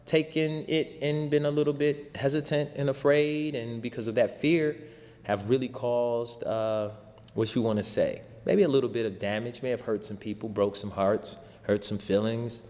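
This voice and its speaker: Deep-voiced man preaching in heavy reverb. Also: fairly even intonation, moderate pace, darkish tone. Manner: informal vernacular, rhetorical repetition. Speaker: show host and spiritual teacher. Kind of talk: impassioned spiritual talk, sermon-like exhortation. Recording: long hall-like reverb.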